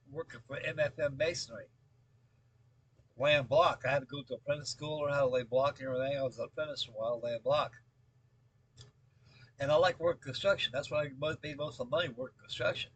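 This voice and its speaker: An American man in his late seventies with a husky voice, chatting casually as a hobby video host in a halting, rambling way, often repeating himself. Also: on the high side, fairly bright tone, poor audio. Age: late 70s. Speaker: scale model builder and video host. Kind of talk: casual update chat with rambling storytelling.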